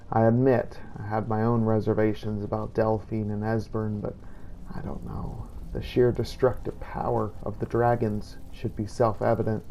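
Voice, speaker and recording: A man narrating a roleplay game journal in character, in a measured, brooding storytelling manner. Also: fairly low pitch, warm tone, poor audio. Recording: clear background noise.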